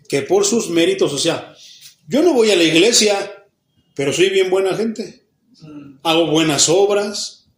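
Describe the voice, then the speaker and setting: A Mexican pastor with a fairly high voice leads a Bible study in a church hall where his words ring off the walls. His Mexican Spanish accent is plain, and he fires questions at the congregation, then answers them himself. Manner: acts out the people he quotes, even mock babbling.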